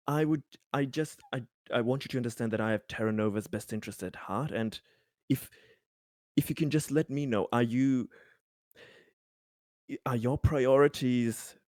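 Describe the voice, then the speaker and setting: A man playing an earnest, conciliatory company man in a tabletop game, pleading his good intentions in halting, repeated phrases. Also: medium pitch, darkish tone, no echo, studio quality, lightly acted.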